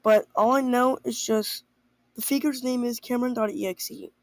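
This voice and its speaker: Young teen for an amateur announcement, creaky delivery, dry recording. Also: mid-range voice, steady tone, moderate pace, dry recording.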